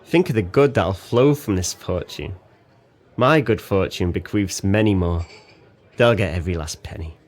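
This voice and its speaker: An actor playing a drunken, guilt-ridden former sailor in an audio drama, effusive, sentimental and rambling. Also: male voice, low voice, moderate pace. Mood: jubilant, grateful.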